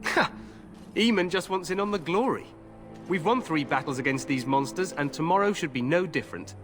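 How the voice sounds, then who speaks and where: An actor playing a confident, glory-hungry young king in a fantasy game, regal, grand and expressive. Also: a little high, brisk, music in the background, lightly acted. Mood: cocky, eager. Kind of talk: fantasy video game acting.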